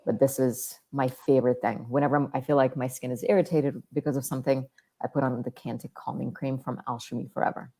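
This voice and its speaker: A woman guest with a deep, calm, soothing voice, chatting in an easygoing way about her skincare routine. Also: fast talker. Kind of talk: casual chat about skincare.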